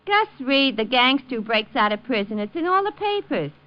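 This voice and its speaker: A woman playing a sharp-tongued, complaining New shopkeeper's wife in a 1950s radio drama, sardonic and full of rhetorical questions. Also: sits high, very animated, moderate pace. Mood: sardonic griping. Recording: dull old 1950 radio recording.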